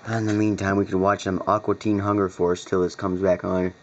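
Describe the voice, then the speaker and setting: Low-voiced man, muffled noisy recording. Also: flat delivery, fairly quick. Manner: irritated, swearing freely. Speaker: hobbyist video maker recording weather radio alerts. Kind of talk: casual off-the-cuff commentary to viewers. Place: dark room indoors at a computer. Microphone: handheld camera, speaker behind it. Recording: muffled lo-fi camera audio with noise.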